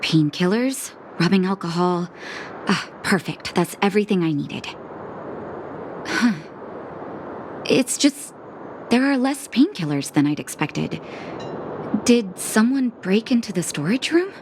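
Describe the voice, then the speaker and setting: Slow-speaking, kindly clinic woman. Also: mid-range voice, very animated, noisy background. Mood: pleased, then puzzled. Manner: gentle, unhurried, caring. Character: kindly, composed adult woman running a clinic. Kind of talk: video game cutscene acting.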